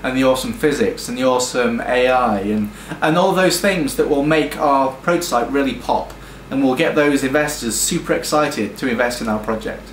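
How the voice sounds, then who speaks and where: A British man pitching his game studio's project, talking enthusiastically with frequent ums and restarts. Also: normal speed, slight background noise. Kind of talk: earnest crowdfunding pitch. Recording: echoey room with faint music.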